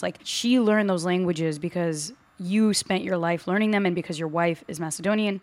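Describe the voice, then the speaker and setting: A young woman hosting a podcast who talks with enthusiasm in a casual chat. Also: on the low side. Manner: enthusiastic, upbeat. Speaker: podcast host.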